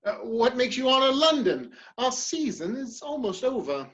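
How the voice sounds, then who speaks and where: Animated, courtly politician played by a woman. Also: on the high side, normal speed. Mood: polite, probing. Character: courteous, curious male politician and society host. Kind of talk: dramatic reading of a period stage comedy.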